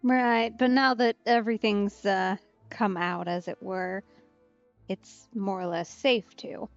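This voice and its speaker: A woman streamer giving casual game commentary, halting and musing aloud. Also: mid-range voice, steady tone. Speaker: let's play streamer. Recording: muffled, dry, faint game music behind.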